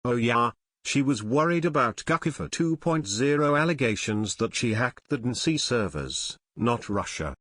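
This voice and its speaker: A man's voice: medium pitch, animated, moderate pace, fairly bright tone, no echo, clean studio sound. A man reading scripted political commentary as a news narrator, with a dramatic, insinuating delivery.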